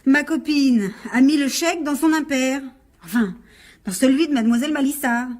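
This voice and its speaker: High-voiced, cheeky young woman played by an actress. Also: darkish tone, lightly acted. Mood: evasive, explaining. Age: young adult. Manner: impudent, quick to retort. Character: cheeky, defiant 21-year-old woman with a muddled alibi. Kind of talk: French radio crime drama acting.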